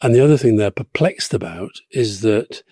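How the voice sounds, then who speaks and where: A man in his sixties, co-hosting a podcast, telling stories in an animated way with repeated phrases and laughs. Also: lowish voice, normal speed, husky, no echo, clean studio sound. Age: 60s. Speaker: podcast co-host. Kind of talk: casual political chat.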